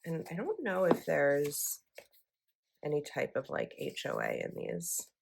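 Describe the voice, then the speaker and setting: Young woman vlogger, low voice, slightly froggy, rambling and casual. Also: huge pitch swings, a little slow, creaky, noisy background. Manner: chatty, rambling with likes and ums. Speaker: planner vlogger. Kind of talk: casual chat while decorating a planner.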